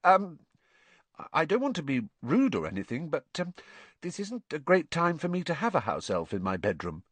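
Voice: mid-range voice, very animated, normal speed, husky, no echo, pitched above the performer's own voice. A reader voicing a polite, nervous young boy in a storybook, hesitant and apologetic. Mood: awkward.

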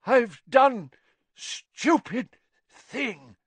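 A man's voice: very high voice, very slow, slightly rough, no echo, pitched above the performer's own voice, exaggerated voice. A man voicing a crazed older male official who rambles and forces out every word with terrible effort, in a strained, broken-off way. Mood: desperate.

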